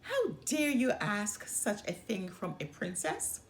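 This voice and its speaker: A woman's voice: medium pitch, wildly swooping pitch, slow pace, heavily acted, rough recording. A woman voicing a mean, selfish, haughty princess in a storybook reading. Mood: indignant. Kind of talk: storybook character voice.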